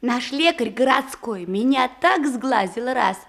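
An actress playing a naive, simple-hearted provincial maiden in a verse comedy radio play, coy, artless, giggly and full of exclamations. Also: female voice, on the high side, expressive, unhurried, vocal fry, fairly bright tone, full character voice.

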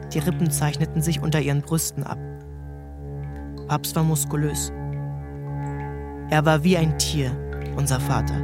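A man narrating a literary novel in a measured, storytelling manner. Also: a little high. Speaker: audiobook narrator.

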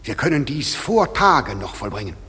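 A man's voice: mid-range voice, big pitch swings, moderate pace, sharp tone. An actor playing a solemn, commanding fairy king who declaims verse in a stately way in a radio play. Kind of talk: classic German radio play acting. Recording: long reverb with music underneath.

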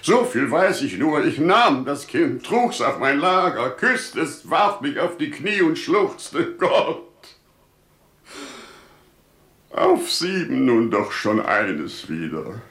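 An actor playing a wise, devout older merchant father in solemn, declamatory, deliberate 1950s radio play style. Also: on the high side, sing-song, a bit bright, full character voice. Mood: fervent. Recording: 1950s radio drama recording.

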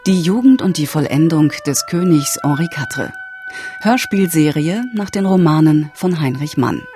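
A woman reading a scripted radio drama introduction in a measured, factual way. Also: low voice, expressive, warm tone, soft background music. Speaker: announcer, narrator.

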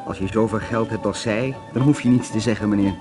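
An actor playing a deferential hotel employee who gossips politely about the guests in a Dutch radio drama. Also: male voice, fairly low pitch, brisk, over music, lightly acted. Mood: dry, deferential.

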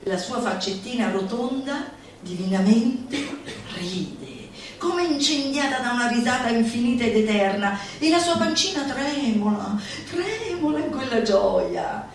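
A woman giving a theatrical recitation, declamatory and playful, with dramatic pauses and asides to her listeners. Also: a little high, lively intonation. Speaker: solo stage performer. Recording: very echoey live hall, distant mic, music behind.

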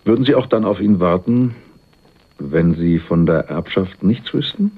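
An actor playing a sly, cynical go-between with a wry, teasing way of talking in a German radio crime drama. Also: male voice, deep voice, animated, unhurried, breathy edge, exaggerated voice. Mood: wry, flirtatious. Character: cynical, sly male go-between, cheekily flirtatious. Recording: muffled, phone-like 1980s radio recording.

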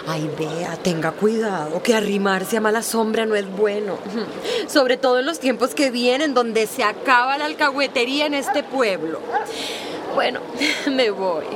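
A woman's voice: fairly high pitch, expressive, unhurried, noisy background. A woman playing a meddling, self-righteous small-town neighbour in a radio drama, falsely polite and insinuating. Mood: smug, insinuating.

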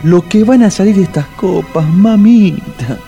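A man's voice: high voice, a little slow, creaky, over loud music. An actor playing a wry male storyteller with an Argentine accent, mock-solemn in a comic radio drama. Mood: placating. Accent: Argentine Rioplatense. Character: wry male storyteller and awkward bar date. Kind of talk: dramatic scripted narration and radio comedy.

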